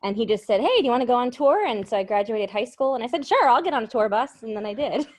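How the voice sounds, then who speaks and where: A woman in her thirties with a light Massachusetts accent chats as an interview guest. She is bubbly and self-deprecating, and her voice sits fairly high. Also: animated, quick pace. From Cape Cod, Massachusetts. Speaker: singer-songwriter guest. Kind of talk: casual interview chat.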